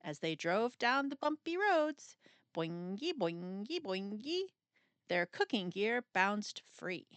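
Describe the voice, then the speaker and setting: Female storybook narrator: lively, swooping intonation, dramatic pauses, playful emphasis, very dry close sound. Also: mid-range voice, clear, resonant tone, no room sound. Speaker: storyteller reading a children's book aloud. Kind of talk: expressive scripted picture-book narration.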